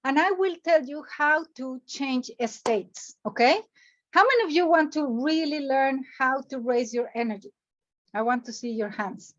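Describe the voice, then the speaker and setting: A woman from Mexico with a Mexican Spanish accent, a native Spanish speaker, giving an animated motivational workshop over a video call and firing questions at her audience. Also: high voice, a little slow, dull audio. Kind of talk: energetic online workshop talk.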